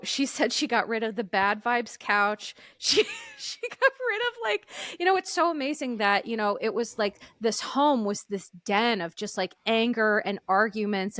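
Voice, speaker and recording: Loud chatty woman co-hosting a podcast, kinda high voice, dry close sound. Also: dry recording. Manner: animated and opinionated, frequent "like". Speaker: podcast co-host. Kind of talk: casual chat.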